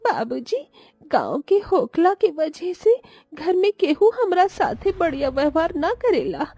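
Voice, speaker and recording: A woman's voice: very high-pitched, very animated, measured pace, mellow, no room sound, soft background music, full character voice. A woman voicing a meek, naive young village daughter-in-law in a cartoon, pleading humbly with a Bhojpuri accent. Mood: sad, hurt. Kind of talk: cartoon moral story voice acting.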